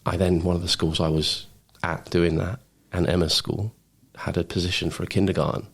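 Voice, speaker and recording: A man's voice: deep voice, little pitch movement, fairly quick, vocal fry, breathy edge. A man telling personal stories casually as a podcast guest, in an informal, blokey way. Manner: informal, blokey, says mate, man and bro. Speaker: podcast guest, former Royal Marine. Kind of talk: casual anecdotal storytelling.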